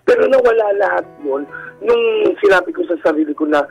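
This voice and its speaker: A man's voice: sits high, animated, fairly quick, vocal fry, slightly rough, poor audio. Campy Filipino man over background music. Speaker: radio call-in guest, stand-up comedian. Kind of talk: casual personal storytelling to radio hosts.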